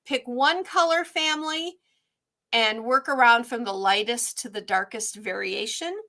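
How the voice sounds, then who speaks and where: Bright, chatty woman hosting a quilting class. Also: fairly high pitch, unhurried. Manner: chatty, upbeat, frequent ums. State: slightly hoarse. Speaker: quilting workshop host. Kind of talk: live online quilting tutorial.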